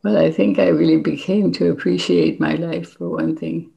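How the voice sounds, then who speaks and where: A woman's voice: sits low, fairly even intonation, moderate pace, vocal fry, dark tone. An older woman speaking reflectively as a guest in an interview. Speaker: interview guest, memoir author and former NGO worker. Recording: dull, lo-fi recording.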